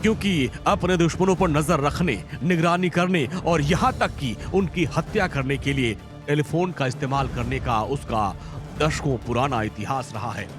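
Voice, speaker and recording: A man's voice: a little high, normal speed. A man narrating a news feature in a dramatic, emphatic scripted style. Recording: voice-over with loud music bed.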